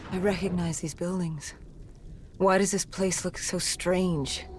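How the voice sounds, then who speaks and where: A woman's voice: fairly low pitch, steady tone, darkish tone, a little hiss or hum, natural voice. A woman voicing a bewildered, searching mortal heroine in a video game, with a questioning manner. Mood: searching, uneasy. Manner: slow, questioning. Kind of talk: video game cutscene acting.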